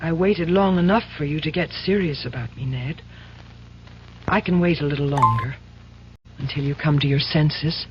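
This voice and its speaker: A woman's voice: sits low, normal speed, natural voice. An actress playing a cool, pragmatic girlfriend in an old-time radio drama, firm, controlled and reproachful. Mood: soft, resolute. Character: cool, pragmatic girlfriend, daughter of a powerful man. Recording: dull, faintly noisy old radio recording.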